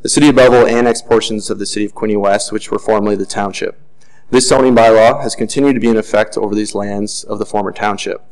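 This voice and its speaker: A man on city planning staff reading a formal report in a measured way, with occasional fillers, at a desk microphone in a council chamber. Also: fairly low pitch, creaky, a little mellow, poor audio.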